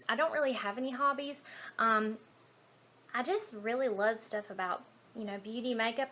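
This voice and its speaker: Bright-voiced Southern US woman, higher pitch. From Alabama, United States. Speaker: beauty vlogger. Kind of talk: casual chatty Q&A to camera.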